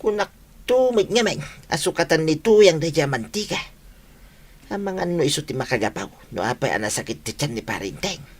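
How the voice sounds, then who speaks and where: An argumentative villager in a comedic radio drama. His voice sits fairly high, and he nags in an Ilocano Philippine accent. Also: very animated, moderate pace, breathy edge, exaggerated voice. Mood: fussing.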